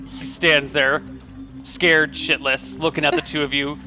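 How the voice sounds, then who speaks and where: A man narrating as game master in a tabletop game, relaxed with fillers, over a video call. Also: a little high, unhurried, faint music behind, low-quality recording.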